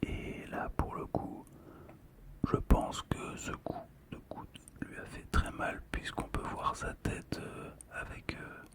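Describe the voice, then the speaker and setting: An ASMR host whispering softly and slowly while presenting and commenting on collectibles in a hushed voice. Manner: whispers throughout, slow and hushed.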